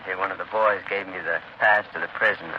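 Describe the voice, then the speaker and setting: An actor playing a timid, polite out-of-town visitor who stammers and hesitates, in 1930s radio thriller style on a noisy old broadcast. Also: male voice, sits low, fairly even intonation, fast, dull audio, lightly acted. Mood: impressed, curious.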